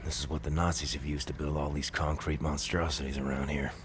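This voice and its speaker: A man's voice: a bass voice, level tone, fairly quick. An actor playing a blunt, tired male soldier in a video game cutscene, speaking in short, clipped lines. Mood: terse.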